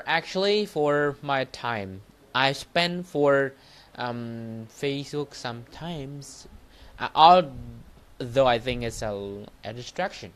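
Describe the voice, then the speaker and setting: Halting man with a Cambodian accent. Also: medium pitch, a bit bright. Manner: halting, repetitive, non-native English phrasing. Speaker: Buddhist monk teacher and livestream host. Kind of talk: informal livestreamed motivational talk and lesson.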